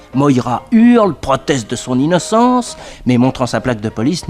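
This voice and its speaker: An actor playing a detective's loyal assistant who narrates the adventure crisply, in the style of an old French radio serial. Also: male voice, mid-range voice, a little mellow, lightly acted.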